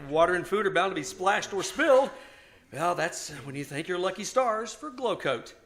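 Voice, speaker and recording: A man's voice: high-pitched, gravelly, sharp tone, heavily acted. A man playing a cheerful old-time radio announcer, with polished, emphatic sales patter in 1950s style.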